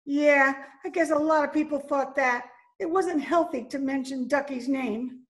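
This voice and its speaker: A woman acting scared young moll, high voice, slangy, pleading, streetwise, old-time radio melodrama, home call recording. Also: steady tone, measured pace. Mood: confessing. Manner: breathless pleading, slangy "gee" and "ya".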